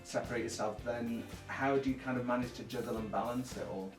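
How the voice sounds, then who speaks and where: A man's voice: mid-range voice, steady tone, brisk. A man hosts an interview and asks long, friendly questions. His voice sounds distant in an echoey room. Faint music plays under him. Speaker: host. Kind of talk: interview hosting.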